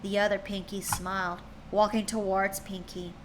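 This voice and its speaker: A woman's voice: mid-range voice, little pitch movement, normal speed, very noisy. A woman streamer reading fan fiction aloud to her chat, chatty and tripping over words now and then.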